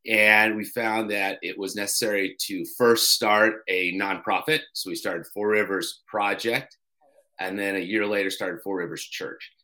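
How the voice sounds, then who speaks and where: A middle-aged man from Colorado speaks as a guest on a radio interview, his voice deep yet bright in tone. He often pauses with fillers and restarts, like a pastor telling his story. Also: steady tone. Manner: halting, many "uh"s and restarts. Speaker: pastor and church co-founder.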